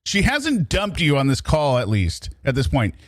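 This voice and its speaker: A man's voice: fairly high pitch, huge pitch swings, normal speed, no echo, studio quality. A man hosting a casual livestream, reacting and commenting in a chatty, teasing, mock-exasperated way. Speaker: livestream host.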